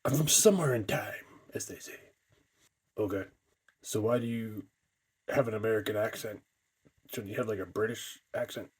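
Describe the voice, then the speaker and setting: A man voicing a curious, teasing cyborg undead creature in an improvised toy skit, with halting restarts. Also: fairly low pitch, husky. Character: cyborg undead male mascot, curious and needling.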